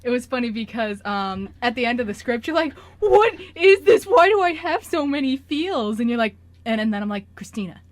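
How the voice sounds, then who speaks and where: A woman's voice: fairly high pitch, sing-song, a little fast, hardly any pauses, no room sound. A young adult woman hosting a radio show in casual, chatty banter with her co-writers. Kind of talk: casual group chat on air.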